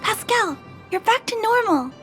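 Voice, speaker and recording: A woman voicing a kind, earnest young girl in a video game, polite, soft-hearted and resolute. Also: very high voice, lively intonation, measured pace, full character voice. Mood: relieved, curious. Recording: music behind the voice.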